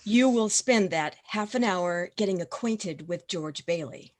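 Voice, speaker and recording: A woman playing an authoritative senior angel in a radio play, measured and matter-of-fact. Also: fairly low pitch, natural voice. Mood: stern, instructive. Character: calm, authoritative senior angel instructing an apprentice. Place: video call.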